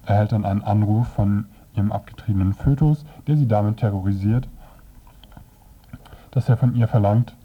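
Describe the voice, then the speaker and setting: A man presenting a scripted review on the radio as narrator, with measured, halting phrasing. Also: fairly low pitch, slightly rough, highs cut off.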